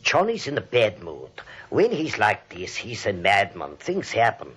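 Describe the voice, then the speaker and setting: Weary crooked doctor, German accent, nervous pleading, lowish husky voice, old radio comedy. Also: male voice, huge pitch swings, measured pace, a bit bright, heavily acted. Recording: dull vintage radio recording.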